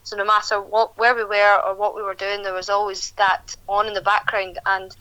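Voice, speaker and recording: Young woman gabbing on a dull phone line, bright voice, kinda flat. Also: medium pitch, moderate pace, no room sound. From Scotland. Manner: chatty, rambling with restarts. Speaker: guest, country singer. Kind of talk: interview.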